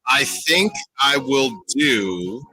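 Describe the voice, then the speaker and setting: A male radio guest with a very bright, forceful voice and a raspy edge. His pitch moves around in an animated way as he banters casually through a game. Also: extremely slow, no echo, rough recording. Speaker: guest on a radio show, sports broadcaster.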